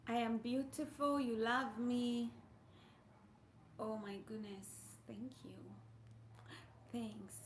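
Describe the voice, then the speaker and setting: A woman's voice: a little high, steady tone, slow, pauses often, a little hiss or hum. Playful woman streamer, echoey room. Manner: playful, teasing, laughs often. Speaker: livestream host. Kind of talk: casual chat with viewers.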